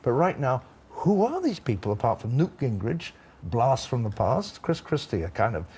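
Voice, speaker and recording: A man's voice: mid-range voice, big pitch swings, moderate pace, a little mellow, slightly rough. An older British man giving expert commentary on a news panel, with wry, blunt, colloquial asides. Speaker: former ambassador, studio guest.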